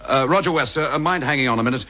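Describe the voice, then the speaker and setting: An actor playing a brisk, decisive British chief inspector in a vintage radio crime serial. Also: male voice, mid-range voice, pushed and bright, natural voice. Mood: urgent. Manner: brisk, commanding. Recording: dull, noisy vintage radio recording.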